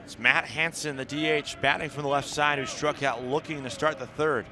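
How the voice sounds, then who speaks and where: A man's voice: fairly high pitch, moderate pace. A man calling live baseball play-by-play on the radio, mixing clipped descriptive calls with longer analysis. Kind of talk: live radio sports commentary. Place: outdoor ballpark broadcast. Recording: steady outdoor ballpark background noise.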